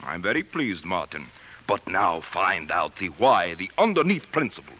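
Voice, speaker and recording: An actor playing a stern, elderly science professor, formal and pedantic, in a 1940s radio drama. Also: male voice, sits low, big pitch swings, normal speed, bright, forceful tone, exaggerated voice, low-quality recording. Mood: pleased, intense. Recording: vintage 1940s radio broadcast.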